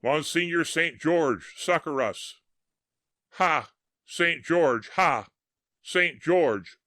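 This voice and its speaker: A man's voice: fairly high pitch, animated, very slow, sharp tone, no echo, clean studio sound, pitched above the performer's own voice, full character voice. A man voicing a host of ghostly medieval bowmen calling out fervent battle cries. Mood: fervent crying.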